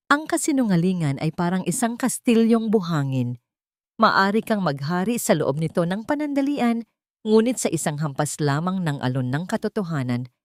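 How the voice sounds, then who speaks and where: A woman's voice: fairly low pitch, a little mellow, dry recording, clean studio sound. A woman audiobook narrator reading a scripted dramatic story.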